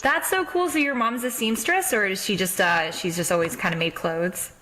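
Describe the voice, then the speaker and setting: A woman hosting a podcast in a casual, effusive interview chat, quick to exclaim. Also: mid-range voice, noisy background. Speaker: podcast host.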